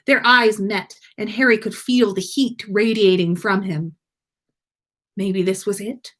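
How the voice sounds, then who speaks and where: A woman reading fan fiction aloud as a podcast host, in an expressive narrating style. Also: medium pitch. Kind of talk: expressive story narration on a livestream.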